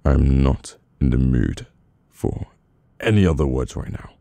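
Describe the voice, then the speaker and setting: A man with a British accent playing a brooding, domineering, burdened mafia boss in an intimate, halting ASMR audio roleplay. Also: expressive, warm tone, no echo, exaggerated voice. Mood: cold, dismissive. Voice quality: very deep, gravelly. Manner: slow, halting, weary menace.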